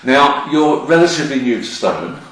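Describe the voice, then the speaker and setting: An older man hosting a podcast, relaxed and rambling as he chats with a guest. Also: mid-range voice, moderate pace, heavy reverb, far from the mic, poor audio. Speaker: podcast host. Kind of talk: casual interview chat.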